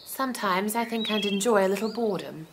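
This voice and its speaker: A woman's voice: mid-range voice, a little fast, noisy background, close to an everyday voice. A woman playing a time traveller's dry-witted, cautious companion in an audio drama, speaking formally and precisely. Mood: wary, dry.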